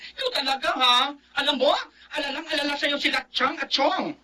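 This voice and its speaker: An actress playing an excitable young woman cousin in a radio drama, scolding and pleading. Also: sits high, moderate pace.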